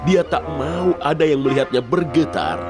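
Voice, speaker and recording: A man narrating a scripted children's story in an expressive storytelling manner. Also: on the high side, moderate pace, music in the background.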